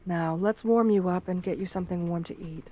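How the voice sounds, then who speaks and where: A woman voicing a shy, lonely, romantic gargoyle girl in a gentle ASMR roleplay, speaking tenderly with formal, bookish wording. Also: fairly low pitch, fairly quick, natural voice. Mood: reassuring, playful. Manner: tender, soft-spoken, formal old-fashioned wording.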